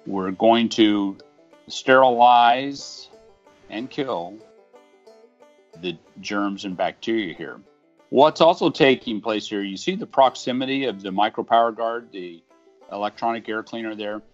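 A man's voice: fairly low pitch, a little slow. A middle-aged man in an easygoing, conversational voice-over, explaining an HVAC installation with homespun comparisons. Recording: dull lo-fi audio with faint guitar music.

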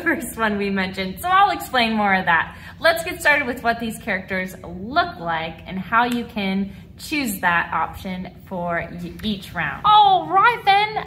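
A woman dance teacher speaks in a high, very bright voice at an unhurried pace. She explains things to children in an animated, encouraging way, pronouncing each point clearly. The recording picks up some noise in a studio. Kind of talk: instructional video lesson for children. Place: large mirrored dance studio indoors.

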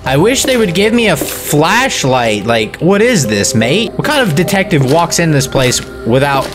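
A man's voice: a little high, big pitch swings, normal speed. A young man playing a horror game and reacting with jokey, exclamatory commentary into a headset mic. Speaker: gaming streamer. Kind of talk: let's play commentary. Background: game music.

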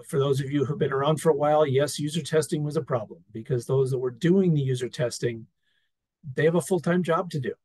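Husky-voiced man giving a relaxed slide talk. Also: medium pitch, no echo. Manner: relaxed and wry, with frequent fillers and restarts. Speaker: presenter sharing an experience report. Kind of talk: conversational talk over slides. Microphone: video call.

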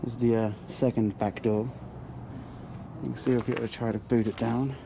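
A man's voice: mid-range voice, flat delivery, normal speed, creaky. Crude joking young English man exploring. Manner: joking, crude, swears often. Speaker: urban explorer vlogger. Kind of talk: casual exploring commentary. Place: dark abandoned burnt-out building, indoors. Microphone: handheld camera. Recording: noisy handheld camera audio.

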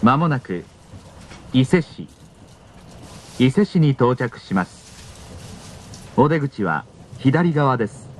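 A man's voice: mid-range voice, very animated, slow, long pauses. A man reading a scripted train announcement in a formal, polite manner. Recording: in-car announcement with clear vehicle noise. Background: train running noise.

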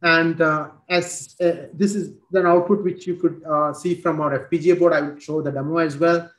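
A man in his forties with an Indian accent, presenting a technical product demo over a video call, halting with many fillers and restarts, speaking into a headset. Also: a little high, fairly even intonation, a bit bright. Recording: roomy video call audio.

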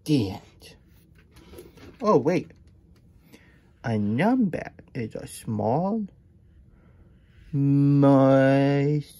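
A man voicing a childlike, good-natured hedgehog plush puppet with a husky voice, reading a picture book with halting, folksy asides. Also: medium pitch, animated, dark tone. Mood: pleased, musing. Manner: speaks of self in third person, halting, folksy. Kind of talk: puppet storytime read-aloud with chatty asides.

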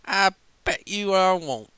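A man's voice: high-pitched, expressive, slow, bright, forceful tone, smooth, no echo, pitched above the performer's own voice, exaggerated voice. A man voicing a blunt, tipsy female farm pony from a storybook, with folksy country phrasing. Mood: cocky.

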